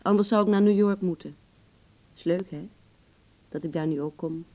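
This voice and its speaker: Radio play lead: actress as wry, wounded beautician in love, female, mellow relaxed tone, intimate and hesitant delivery. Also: on the low side, normal speed, muffled recording, natural voice. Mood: subdued. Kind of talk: Dutch radio play acting, intimate dialogue.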